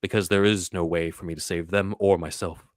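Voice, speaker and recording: A man playing a grave masked superhero detective in a solemn, deliberate dramatic reading. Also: low voice, steady tone, no room sound, studio quality, lightly acted.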